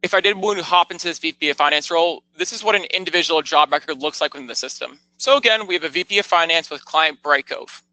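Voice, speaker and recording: A man narrating a product tour as a software demonstrator, explaining things step by step in a conversational, informal way. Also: a little high, quick pace, hardly any pauses, dry recording, rough recording.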